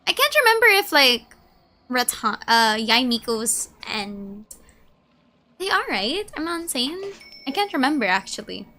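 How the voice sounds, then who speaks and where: A woman streaming a game with casual, playful commentary, thinking out loud. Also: on the high side, sing-song, a little slow. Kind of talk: casual live gameplay commentary.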